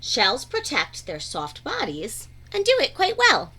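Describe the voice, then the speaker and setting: Woman, children's read-aloud storyteller. High-set voice, unhurried pace, expressive teacher-like phrasing. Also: slight background noise.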